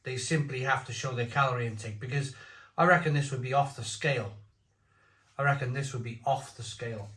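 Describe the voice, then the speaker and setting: A man's voice: medium pitch, fairly quick, a bit bright. A man in his forties giving a casual, opinionated beer review, talking bluntly in rambling asides. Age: 40s. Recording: roomy echo.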